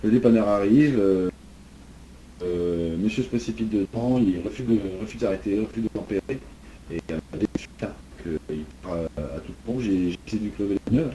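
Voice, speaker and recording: A man with a very mellow, muffled-dark timbre plays a police officer in improvised game chat. He speaks low and rambles, feeling his way through explanations. There is faint noise behind the voice. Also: fairly quick. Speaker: player role-playing a police officer. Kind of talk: improvised online role-play chat. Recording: dull mic with background noise.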